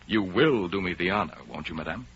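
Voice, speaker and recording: An actor playing a gallant, distinguished count with courteous manners in a 1930s radio drama, on a muffled old recording. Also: male voice, low voice, expressive, a little fast, very noisy. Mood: amused, charmed. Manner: courteous, gentlemanly.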